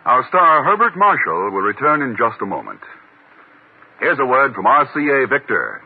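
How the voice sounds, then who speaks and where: A man's voice: medium pitch, wildly swooping pitch, moderate pace, phone-quality sound. A man announcing and reading sponsor copy in a 1950s radio style. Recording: band-limited 1950s radio broadcast, music behind.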